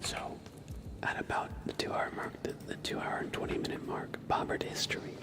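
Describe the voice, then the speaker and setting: A man chatting casually as an ASMR livestream host in a soft, breathy whisper, with an informal, halting, rambling way of talking. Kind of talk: ASMR, casual live chat.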